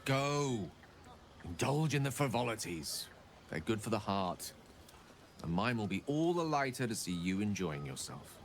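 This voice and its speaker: An actor playing an eloquent, genteel gentleman wizard in a fantasy video game, with a wry turn of phrase. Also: fairly low pitch, very animated, a little slow, a little hiss or hum.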